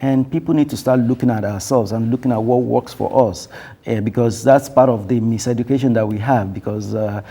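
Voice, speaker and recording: A man speaking on a television panel, thoughtful and halting, with many fillers and restarts. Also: medium pitch, dark tone, slightly rough. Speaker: panel guest. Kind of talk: panel discussion.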